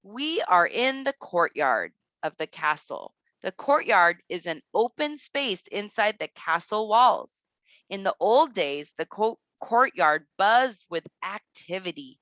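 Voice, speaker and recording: A woman with a very bright, forward voice reads aloud as a kindergarten teacher would. She takes it slowly and deliberately, pausing to explain things straight to the children. The sound is close and dry. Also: medium pitch, dry recording, muffled recording. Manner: deliberate, instructive, addresses children directly. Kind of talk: read-aloud to young children with teaching asides.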